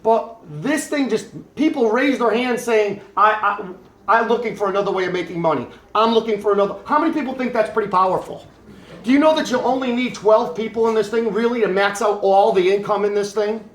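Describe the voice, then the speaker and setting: A middle-aged man with a fairly high voice runs a rambling, salesy pitch, tossing questions out to the audience. The sound carries the echo of a room around him. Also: a little fast. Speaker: presenter introducing his son. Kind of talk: motivational pitch to a home meeting. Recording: echoey room.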